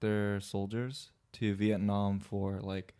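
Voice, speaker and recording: A man's voice: fairly low pitch, little pitch movement, takes it slowly, dark tone, clean tone. A man co-hosting a podcast, chatting casually and asking follow-up questions. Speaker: podcast co-host. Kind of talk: casual chat.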